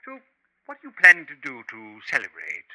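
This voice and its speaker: An actor playing a genteel, secretive professor in a 1950s radio drama, polite, coy and slyly ingratiating. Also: male voice, mid-range voice, wildly swooping pitch, fairly quick, pushed and bright, heavily acted. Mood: affable. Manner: polite, coy, smooth-talking. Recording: noisy old 1950s radio recording.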